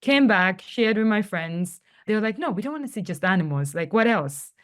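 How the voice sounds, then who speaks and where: A woman's voice: medium pitch, animated, moderate pace. A young woman from Tanzania, a podcast guest, telling her story in a chatty way full of fillers and acted-out quotes. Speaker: guest, travel company founder. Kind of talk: casual podcast interview.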